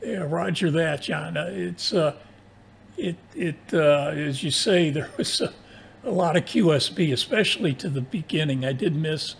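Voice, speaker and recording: Older American man, halting delivery. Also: on the high side, a little hiss or hum. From United States. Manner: halting, throat-clearing delivery. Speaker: amateur radio operator. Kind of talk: casual ham radio conversation.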